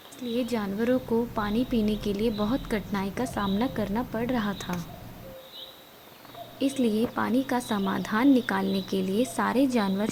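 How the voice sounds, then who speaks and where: A woman storyteller with a fairly high voice reads a scripted children's tale. Faint noise runs behind her narration.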